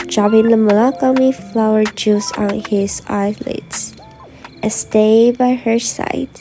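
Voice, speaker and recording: Female storyteller: slow, mellow, slightly high voice, scripted narration with faint music behind. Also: low-quality recording.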